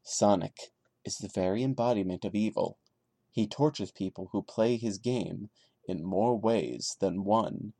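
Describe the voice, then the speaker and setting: A man voicing the horrified young male narrator of a creepypasta, reading it dramatically in a grave, deliberate way. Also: lowish voice, dark tone, no echo.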